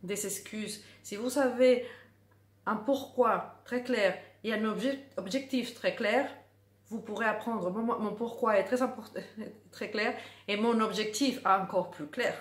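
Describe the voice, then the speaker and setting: An earnest middle-aged woman teaches drawing in a casual talk to the camera. She leans on key words by saying them twice or three times. Her voice sits at an ordinary middle pitch, and the room around her rings with echo. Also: measured pace. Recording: echoey room.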